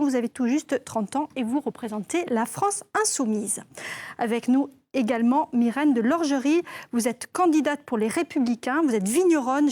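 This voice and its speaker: Woman hosting a tv debate, high voice, measured and clear. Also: darkish tone. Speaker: debate presenter.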